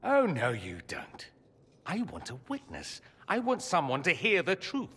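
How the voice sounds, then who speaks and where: A man's voice: on the high side, huge pitch swings, unhurried, sharp tone, husky, in a large hall, full character voice. An actor playing a proud, sardonic young nobleman mage in a video game, articulate and theatrical, with a wounded, defiant edge. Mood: hurt, defiant. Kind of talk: dramatic video game voice acting.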